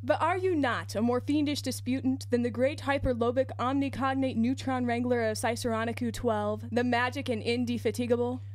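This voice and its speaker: A woman's voice: a little high, steady tone, fairly quick, few pauses, no room sound, natural voice. A woman playing an earnest, indignant computer designer in a science fiction radio drama, questioning in formal, insistent speech.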